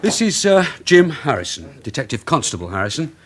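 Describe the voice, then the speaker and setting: A man's voice: a little high, sing-song, fairly quick. A streetwise male London detective sergeant in a British radio drama, wry and sardonic. His voice moves up and down freely. A faint background hiss sits under it. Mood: dry. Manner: dry, sardonic asides. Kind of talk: 1980s radio drama acting.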